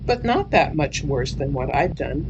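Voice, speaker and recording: A woman's voice: sits low, sing-song, a little slow, dull audio, background noise. A woman author narrating her own humorous story dramatically, with theatrical expression.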